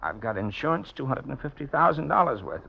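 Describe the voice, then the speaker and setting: A man's voice: mid-range voice, sing-song, fairly quick, gravelly, slightly rough, heavily acted. An actor playing an anxious, desperate businessman in a 1940s radio drama, hesitant and stammering. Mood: uneasy, reluctant. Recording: muffled old radio recording.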